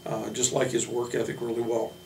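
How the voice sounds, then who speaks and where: Male American coach, informal speech to introduce players, halting and level, recorded in a roomy space with faint noise. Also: medium pitch, monotone, a little mellow. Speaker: head basketball coach. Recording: echoey room from a distance, hum, noise.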